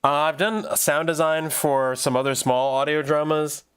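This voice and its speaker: A man chatting casually on a livestream while he works, thinking aloud in a halting, rambling way with repeated phrases. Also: fairly high pitch, fairly even intonation, smooth. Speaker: livestream host and audio drama producer.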